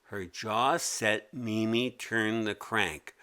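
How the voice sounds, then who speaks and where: A man narrating a children's story as a read-aloud, expressive and deliberate. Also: fairly low pitch, slight background noise.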